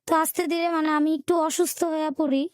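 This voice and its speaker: A woman with a Bangladeshi Bengali accent telling her life story in an interview, in a colloquial, halting way with repeated filler words. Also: high voice, little pitch movement, no echo, clean studio sound. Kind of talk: personal storytelling in a radio interview.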